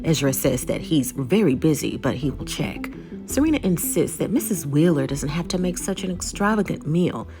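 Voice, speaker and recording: A woman's voice: deep voice, expressive, moderate pace, over music. A woman narrating a scripted episode recap as the host of a video channel.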